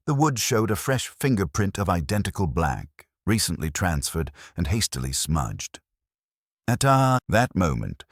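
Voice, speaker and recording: A man narrates a mystery audiobook in an extremely deep voice with a husky grain. His formal, measured reading rises and falls widely, recorded very dry and close. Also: no room sound.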